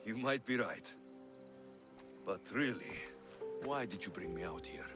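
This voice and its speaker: Lively confident Spartan warrior. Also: male voice, on the low side, measured pace, over music. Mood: soft, teasing. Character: confident Spartan warrior mercenary, young adult man. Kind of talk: scripted video game cutscene acting.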